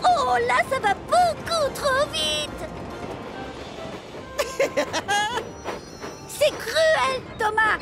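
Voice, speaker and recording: A woman's voice: very high-pitched, expressive, extremely slow, long pauses, music in the background, exaggerated voice. A woman voicing a grumpy, fretful talking railway coach in a children's cartoon, scolding and exclaiming. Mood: alarmed, scolding.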